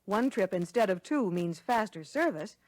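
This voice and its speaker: A woman playing a courteous American lunch counter waitress, explaining service clearly in a scripted 1960 training film. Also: medium pitch, lively intonation, moderate pace, darkish tone, no room sound, close to an everyday voice. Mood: proud, helpful. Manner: courteous, instructive, clearly worded.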